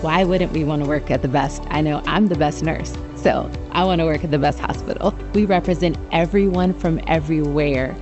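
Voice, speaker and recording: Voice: mid-range voice, a little fast, hardly any pauses, muffled recording. A nurse giving a confident, emphatic testimonial in a promotional video. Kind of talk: testimonial interview. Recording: promo audio with music bed.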